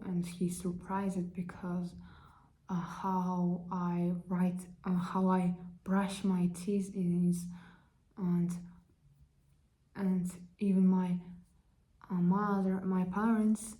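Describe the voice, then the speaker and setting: A young woman from Kazakhstan with a Kazakh accent, introducing herself in halting, careful learner's English full of fillers. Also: fairly low pitch, warm tone. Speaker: vlogger. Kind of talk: casual self-introduction.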